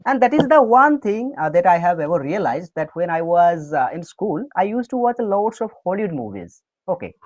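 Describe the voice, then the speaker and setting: A man's voice: high voice, dull audio. A man from Odisha, a native Odia speaker, talking about learning English in a casual chat, with an Odia accent and a habit of saying "okay" between thoughts. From Odisha, India. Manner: animated, fills pauses with "okay". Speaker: interview guest, English learner. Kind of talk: casual conversation on a call.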